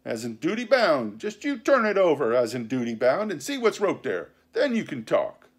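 Man acting a high-pitched, accusing pirate mutineer. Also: huge pitch swings, moderate pace, breathy edge, full character voice. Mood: challenging. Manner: blunt, insistent nautical slang. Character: accusing, blunt mutinous pirate challenger, long lanky man.

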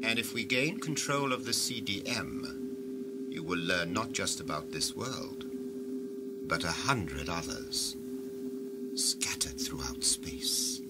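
An actor playing an imperious head of a world-ruling cartel in a sci-fi audio drama, grandiose and commanding like an orator. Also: male voice, low voice, expressive, takes it slowly, very noisy, full character voice. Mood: lofty.

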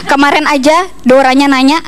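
A woman's voice: high-pitched, steady tone, a little fast. A young woman from East Kalimantan, Indonesia, performing a stand-up comedy routine into a handheld microphone on a stage. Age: young adult. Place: TV comedy studio stage with audience. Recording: audience ambience noise behind stage mic.

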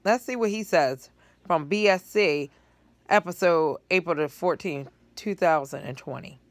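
A woman's voice: on the low side, a little slow, dry recording. A middle-aged woman gives casual commentary to her phone from a living room couch, talking things through and doing sums aloud. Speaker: vlogger commenting on news clips. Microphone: phone held close at low angle.

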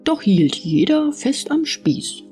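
A woman narrating an audiobook fairy tale in an expressive storytelling style. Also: sits high, slow pace, faint music behind.

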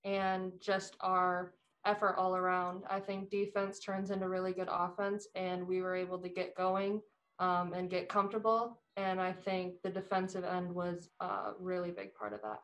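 A woman basketball player giving measured answers in a post-game interview. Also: lowish voice, level tone, a bit bright, low-quality recording.

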